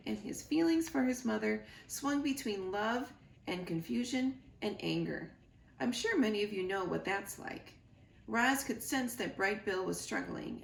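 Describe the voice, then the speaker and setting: A woman reading a storybook aloud to children in an expressive, deliberate storytelling way, as a school librarian. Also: medium pitch, vocal fry. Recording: roomy echo, noticeable background noise.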